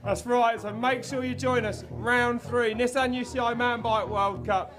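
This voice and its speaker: A man's voice: very high voice, steady tone, normal speed, over music. A man hosting and interviewing a racer, chatty and matey, calling people mate and dude. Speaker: host and interviewer. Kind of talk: casual post-race interview.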